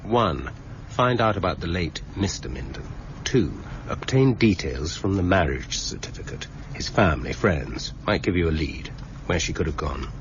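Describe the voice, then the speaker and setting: An actor playing an impatient, sardonic senior police detective in a radio drama, with a dry, clipped, condescending delivery. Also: male voice, sits low, expressive, moderate pace. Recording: dull radio recording with faint noise.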